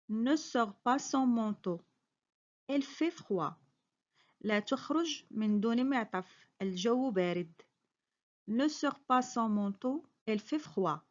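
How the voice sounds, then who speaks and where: High-voiced teacher woman drilling phrases. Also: animated, a little slow, long pauses, muffled recording. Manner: careful, clearly spoken model sentences, each repeated. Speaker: French teacher. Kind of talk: slow repeated phrase drills with quick translations.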